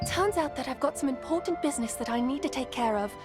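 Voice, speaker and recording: Haughty female wizard hiding a demon, high voice, condescending, theatrical game delivery, music behind. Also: lively intonation, fast. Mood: haughty, persuasive. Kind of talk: video game voice acting.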